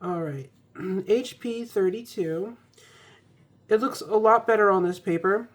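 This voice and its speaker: A woman's voice: medium pitch, unhurried. A young woman recovering from a cold, chatting casually with ums and restarts as she reviews an ink. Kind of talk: casual product review vlog.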